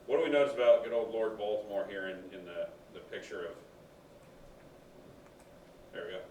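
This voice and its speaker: A male teacher with a booming voice, lecturing casually and quizzing his class. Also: expressive, moderate pace, a little hiss or hum. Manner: loud, booming, conversational explaining. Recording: echoey classroom, far from the mic.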